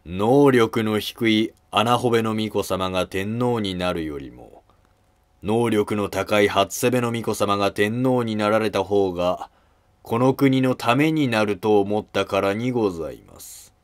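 A man playing a deferential, scheming middle-aged minister of ancient Japan in a historical drama, with measured, formal archaic honorifics. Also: on the low side, no echo, close to an everyday voice. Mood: calm persuasion.

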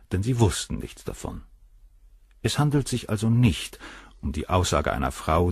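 A haughty, detached surgeon in a crime radio play. His voice is very low and husky, with lively swings in pitch as he states things coolly and precisely. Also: no echo, studio quality, exaggerated voice. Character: cold, arrogant professor of surgery, unrepentant philanderer.

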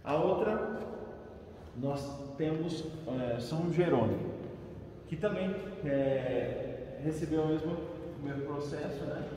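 A man's voice: a little high, slow, dark tone. A man with a Brazilian accent giving an informal, explanatory guided tour, often adding small check-in tags as he explains. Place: large reverberant crypt indoors. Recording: very echoey stone crypt, distant, faint noise.

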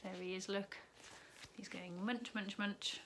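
Slow, expressive woman reading a story. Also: medium pitch, a little hiss or hum. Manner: slow, expressive storytime reading with lively rises on key words. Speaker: primary school teacher. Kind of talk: reading a picture book aloud to children. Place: living room at home, indoors.

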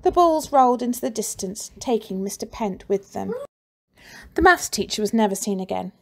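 A woman teacher reading a children's storybook aloud with lively expression and playful sound effects. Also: fairly high pitch, normal speed.

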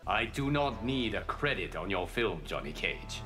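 An actor playing a wise, grave guardian god of martial arts who speaks formally in a fighting video game. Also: male voice, fairly low pitch, big pitch swings, moderate pace, bright, forceful tone. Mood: solemn. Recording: game music behind.

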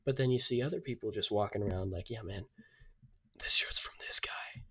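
A man with a slightly low voice chats as a podcast co-host. His delivery is informal and comic, and he leans on words for emphasis. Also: fairly quick, a bit bright, slightly rough. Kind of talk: casual comic chat.

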